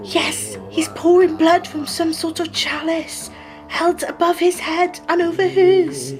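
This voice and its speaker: An actress playing a frightened but spirited young daughter in a radio play, hushed and dramatic. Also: female voice, very high voice, fairly even intonation, slow pace, faint music behind, exaggerated voice. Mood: hushed, alarmed. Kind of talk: period radio play acting.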